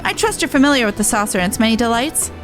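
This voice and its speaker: A woman's voice: on the high side, expressive, racing, music in the background, close to an everyday voice. A woman voicing a young cat-folk resistance soldier in a game, earnest, battle-worn and blunt. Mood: playful, businesslike. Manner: earnest, blunt, rough-and-ready phrasing. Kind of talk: game dialogue voice-over.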